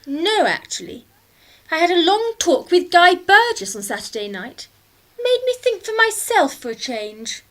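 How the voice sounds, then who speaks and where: A woman acting a headstrong young English daughter in a radio play. Her voice is high and swoops expressively. She is assertive, idealistic and quick to turn sardonic. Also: sing-song, heavily acted, low-quality recording. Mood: defiant. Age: young adult. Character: defiant, idealistic eighteen-year-old English daughter, sharp-tongued.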